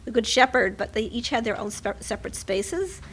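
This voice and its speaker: A woman's voice: fairly high pitch, animated, normal speed, a bit bright, a little hiss or hum. A woman speaking at a memorial, reading a tribute aloud and adding informal personal asides.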